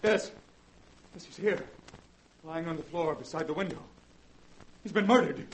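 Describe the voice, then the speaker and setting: A man's voice: fairly high pitch, normal speed, husky. An actor playing an earnest, easily swayed male doctor in a 1940s radio drama, talking in an anxious way. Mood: alarmed. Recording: noisy old radio broadcast recording.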